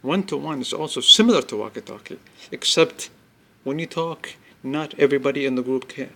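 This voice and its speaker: A man presenting an informal product demonstration, explaining step by step in halting phrases and repeating himself. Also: medium pitch, vocal fry, sharp tone. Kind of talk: informal spoken product demo.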